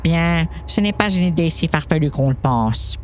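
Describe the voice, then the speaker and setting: Calm, reassuring doctor played by a woman, lowish voice. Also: slight background noise. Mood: patient, encouraging. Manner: reassuring, measured, explanatory. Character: calm, patient doctor coaching a troubled girl. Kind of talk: amateur audio drama reading.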